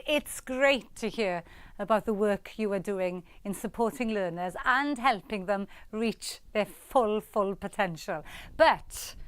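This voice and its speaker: A woman's voice: medium pitch, clean tone, no room sound. A woman hosting an awards ceremony, bubbly and gushing as she interviews a winner.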